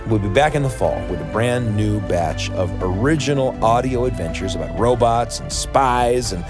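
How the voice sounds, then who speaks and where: An American man co-hosting a podcast in a conversational presenting style. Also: on the low side. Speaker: podcast co-host. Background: faint music.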